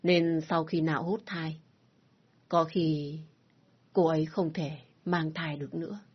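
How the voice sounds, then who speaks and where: A woman voicing a doctor who gives grave news in a measured way. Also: low-pitched, flat delivery, breathy edge. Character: matter-of-fact male doctor. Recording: dull lo-fi recording.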